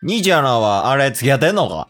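関西弁のお節介な屋台のおっちゃんを演じる男性. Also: male voice, medium pitch, very animated, fast talker, fairly bright tone, faint music behind. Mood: teasing, friendly. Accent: Kansai. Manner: teasing, pushy, familiar Kansai banter. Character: nosy, generous candy-apple stall man at a summer festival. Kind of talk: amateur comedic radio drama acting.

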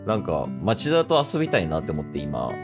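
A man's voice: low voice, huge pitch swings, brisk, voice pushed down. A man with a Kansai accent playing a friendly young man casually and hesitantly asking an old friend out in an improvised radio drama. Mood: tentative, inviting. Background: plucked guitar music.

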